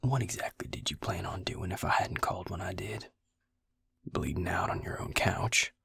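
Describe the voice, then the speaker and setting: A gruff, protective vampire healer played by a man murmurs in a low drawl for an intimate roleplay audio. The voice is very breathy and half-whispered, close and dry. He comes across as blunt but tender, with an exasperated, caring edge. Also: normal speed, bright, forceful tone, dry recording, exaggerated voice. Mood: gruff, scolding.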